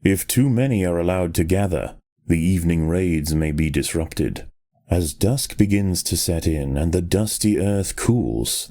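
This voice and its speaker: A man narrating in a deliberate, solemn nature-documentary style for a game. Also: low voice, warm tone. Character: grave, measured male nature-documentary narrator.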